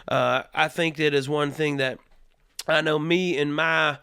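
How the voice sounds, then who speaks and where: A man's voice: on the high side, steady tone, measured pace, gravelly, pushed and bright, smooth, dry recording, studio quality. A man hosting a comedy show in a put-on Southern US accent, talking casually in a crude, profane, joking monologue.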